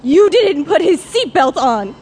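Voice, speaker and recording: Grieving, accusing mother, high and very expressive, deliberate delivery, live drama with faint background noise. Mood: cold, accusing. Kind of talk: live audio drama acting.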